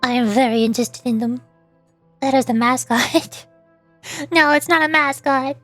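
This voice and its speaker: A woman's voice: high-pitched, moderate pace, fairly bright tone, dry recording, faint music behind. A woman streamer chatting casually and ramblingly about games, often repeating words as she goes. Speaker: streamer and VTuber.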